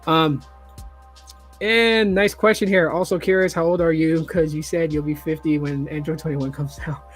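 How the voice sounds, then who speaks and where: A man's voice: fairly high pitch, steady tone, moderate pace, faint music behind. A man in his forties hosting a casual online chat, swearing freely and acting out quoted talk. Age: 40s. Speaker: YouTube host.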